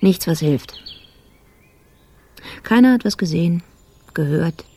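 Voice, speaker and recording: A husky, terse female investigator. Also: lowish voice, expressive, dark tone, exaggerated voice. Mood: sober, frustrated. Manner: clipped, terse fragments with heavy pauses. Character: sober, troubled police colleague investigating a case. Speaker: radio drama actor. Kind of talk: radio drama monologue.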